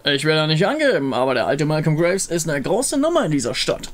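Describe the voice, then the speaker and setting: A man's voice: fairly high pitch, sing-song, brisk, faint music behind. A man voicing a boastful, easily flustered outlaw gunslinger with a blustering manner.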